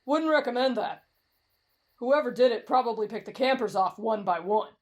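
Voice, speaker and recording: A woman's voice: fairly high pitch, animated, moderate pace, pitched below the performer's own voice, close to an everyday voice. A woman voicing a brash, cocky young man with a morbid streak and a blunt, boastful manner in an anime fan audio roleplay.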